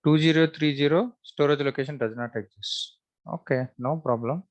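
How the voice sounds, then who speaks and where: A man giving a step-by-step screen-share software tutorial, thinking aloud in a halting, repetitive, instructional way. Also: a little high. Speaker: software trainer.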